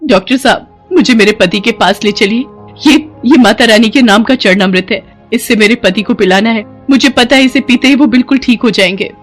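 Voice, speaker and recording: A woman's voice: on the high side, moderate pace, faint music behind, pitched above the performer's own voice, close to an everyday voice. Woman playing an emotional, devout village wife. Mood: anxious. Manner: emotional, pleading or sharp-tongued. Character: pious, scolding village wife and mother, later grieving. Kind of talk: dramatized Hindi moral story.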